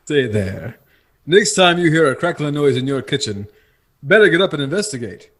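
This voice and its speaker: A man's voice: fairly high pitch, very animated, normal speed. A man reading a 1950s-style radio commercial with folksy, enthusiastic sales talk. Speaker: commercial announcer.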